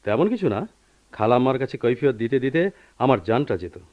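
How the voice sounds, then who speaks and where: An actor playing a playful, teasing young husband and friend with theatrical delivery in a radio play. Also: male voice, medium pitch, very animated, a little fast, natural voice. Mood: relieved. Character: jovial, teasing young friend, married and expansive. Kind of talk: Bengali radio play acting. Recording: muffled old radio recording.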